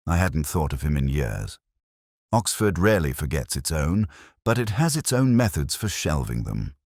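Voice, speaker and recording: Very deep, brooding man playing an ageing detective. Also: dry recording, studio quality, exaggerated voice. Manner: measured, reflective, formal literary phrasing. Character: ageing male detective inspector, wry, brooding, literary. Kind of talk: scripted first-person detective narration.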